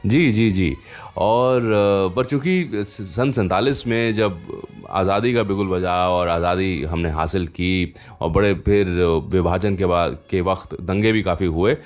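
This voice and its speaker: Low-voiced man hosting music radio. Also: unhurried. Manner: chatty, addresses listeners directly with frequent rhetorical fillers. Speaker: radio show host. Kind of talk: conversational music radio presenting and anecdote storytelling.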